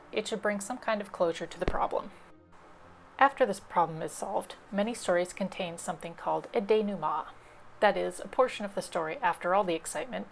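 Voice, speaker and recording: A woman's voice: mid-range voice, creaky. A woman library presenter giving scripted instructional narration, explaining things step by step.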